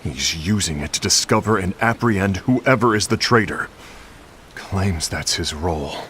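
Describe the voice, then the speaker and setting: Blunt male game warrior, very low voice, frank and bitter. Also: lively intonation, normal speed, fairly bright tone, a little hiss or hum. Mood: resentful. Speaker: game character. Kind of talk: video game voice acting.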